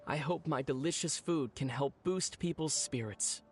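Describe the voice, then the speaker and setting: A man plays a friendly young cook in a video game, earnest and gentle, with a slightly high voice. Faint music plays behind him. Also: moderate pace, lightly acted.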